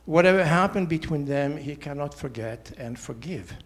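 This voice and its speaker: A man, giving a prepared personal testimony in a measured, deliberate way. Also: fairly high pitch, lively intonation. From Switzerland. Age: 70s. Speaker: church member giving testimony.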